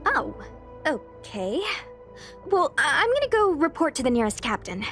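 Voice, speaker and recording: A woman's voice: high voice, sing-song, moderate pace, a bit bright, soft background music. A woman acting an eager, friendly, chatty young knight in a fantasy game. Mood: friendly, awkward.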